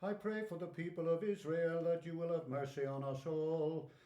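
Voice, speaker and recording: An actor playing a humble old priest praying solemnly and reverently in a radio drama. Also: male voice, on the high side, fairly even intonation, moderate pace, dark tone, echoey room, dull audio, close to an everyday voice. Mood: solemn, devout. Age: older.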